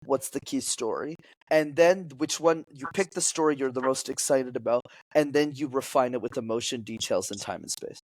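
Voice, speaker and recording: Young man, French first language, public speaking coach, energetic, teacherly, middle pitch, dry close sound. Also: moderate pace, no room sound, low-quality recording. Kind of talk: podcast interview guest.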